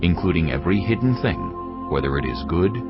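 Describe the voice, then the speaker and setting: A man playing a grave, authoritative narrator in a dramatised audio Bible, gravelly and deliberate in his scripted reading. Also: a bass voice, sing-song, exaggerated voice. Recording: dull audio under loud music. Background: loud background music.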